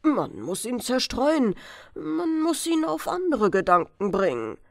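A woman's voice: on the high side, wildly swooping pitch, unhurried, gravelly, no echo, clean studio sound, voice pushed up, heavily acted. A woman voicing an earnest male royal physician, grave and insistent, in a fairy-tale audiobook. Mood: alarmed.

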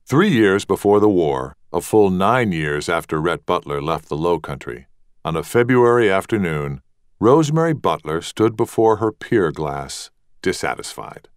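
A man reads literary fiction as an audiobook narrator. His voice is deep and his intonation varied, with deliberate phrasing. The recording is dry and close. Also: big pitch swings, clear, resonant tone, dry recording.

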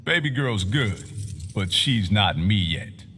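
A man's voice: lowish voice, expressive, slow, sharp tone, soft background music, heavily acted. A man playing a blunt, tough-talking soldier father in a fighting game. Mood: stern. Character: gruff protective soldier father, middle-aged.

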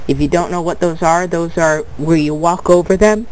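A man's voice: a little high, steady tone, normal speed, slight background noise, poor audio. Stuffed-up young man rambling through a tutorial. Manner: rambling, repeats "but anyway", restarts words. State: stuffed-up nose from a cold. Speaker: tutorial video maker. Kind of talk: casual screen-recorded tutorial.

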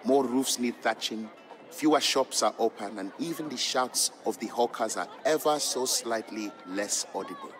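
An actor playing an Ethiopian trader and father, narrating old legends in a measured, fond storybook style for a game. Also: mid-range voice, fairly bright tone, lightly acted. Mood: reflective, storytelling. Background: background music.

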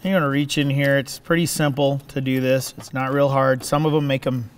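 A man's voice: medium pitch, level tone, fairly quick, noisy background. A middle-aged man giving a casual welding demonstration in a workshop, folksy and conversational, often checking in with "okay?". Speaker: welding instructor. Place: large indoor welding workshop.

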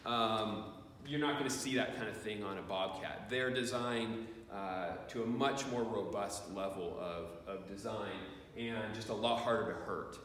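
A man's voice: lowish voice, measured pace, a bit bright, a little hiss or hum. A man in his forties giving a rambling, plainspoken equipment review in a large farm shed, with lots of fillers and restarts. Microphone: camera at a distance. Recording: echoey shed, voice far from camera.

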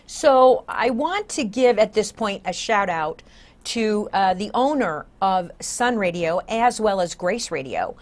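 A woman's voice: medium pitch, expressive, slow pace. A mature woman hosting a conversational TV interview in a studio, speaking emphatically. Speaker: talk show host. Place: indoor TV talk show studio.

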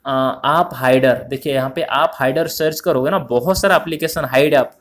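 A man's voice: medium pitch, moderate pace, fairly bright tone. A man narrating an informal phone tutorial, explaining step by step with repetition and addressing viewers as friends. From India. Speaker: tutorial host.

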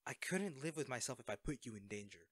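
A man's voice: mid-range voice, animated, fast talker, no room sound, studio quality, lightly acted. A man acting a young superhero in an audio roleplay, a sheepish, caring best friend who speaks conversationally and a little hesitantly. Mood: earnest.

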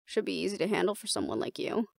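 A woman playing a battle-seasoned, fond and teasing mate in a roleplay audio drama, talking casually and intimately with a gravelly creak and hesitant pauses. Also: lowish voice, very animated, a little mellow, dry recording, studio quality, heavily acted. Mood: playful, fond.

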